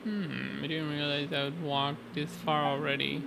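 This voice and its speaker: A man's voice: on the high side, level tone, moderate pace, vocal fry, over loud music, poor audio. A man playing a game on stream, casually reading dialogue aloud and commenting, sometimes stumbling over the words. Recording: noticeable background noise.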